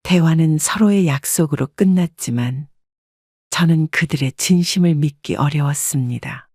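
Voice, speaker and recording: Calm woman narrating a family story. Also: sits low, moderate pace, no echo, studio quality. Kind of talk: scripted first-person story narration.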